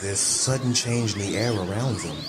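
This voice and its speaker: A man's voice: fairly low pitch, normal speed, very noisy. A man reading scripted, dramatic action-fantasy narration as an audiobook narrator.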